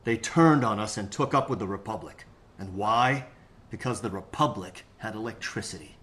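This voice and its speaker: An actor playing a worldly, hard-bitten mercenary leader in an audio drama, explaining things matter-of-factly. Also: male voice, on the low side, normal speed, a bit bright, close to an everyday voice. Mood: grim, explaining. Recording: echoey room.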